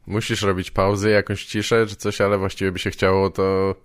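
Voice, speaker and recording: A man hosting a casual conversation, speaking informally and haltingly, rephrasing as he goes. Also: low voice, little pitch movement, clear, resonant tone, no echo, clean studio sound. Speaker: podcast host, interviewer.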